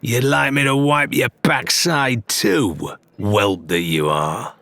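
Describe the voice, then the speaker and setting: A man voicing a gruff, defiant pirate captain with a growling, blustering, scornful delivery in an audiobook. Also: mid-range voice, slow pace, bright, forceful tone, voice pushed up, full character voice.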